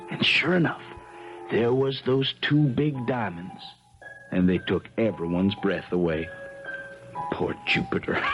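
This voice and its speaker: An actor playing an eager, clever young small-town lawyer hero in a 1970s radio drama, telling things in a folksy, theatrical way. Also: male voice, mid-range voice.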